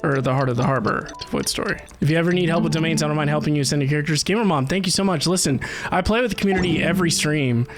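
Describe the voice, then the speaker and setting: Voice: mid-range voice, rapid-fire, soft background music. An enthusiastic streamer giving casual, chatty, exclaiming game commentary on a livestream.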